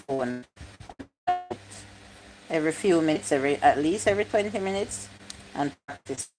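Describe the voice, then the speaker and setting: A woman's voice: low voice, lively intonation, normal speed, over music. A woman, chatting casually on a livestream, reading comments aloud and repeating her points. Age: 50s. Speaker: YouTube live streamer.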